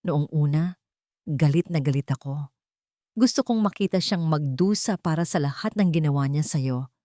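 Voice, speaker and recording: A woman's voice: low voice, normal speed, warm tone, no room sound, studio quality, natural voice. A woman voicing a brave, thoughtful young adult daughter who speaks earnestly.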